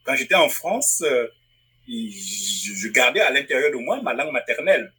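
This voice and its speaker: A man from Africa with a bright, forward tone and a husky edge to his voice. He answers interview questions as a publisher and writer, thinking aloud and often circling back to restart a phrase. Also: mid-range voice, big pitch swings, normal speed. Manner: thoughtful, restarts phrases while searching for words. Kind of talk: interview guest. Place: Northwest Territories.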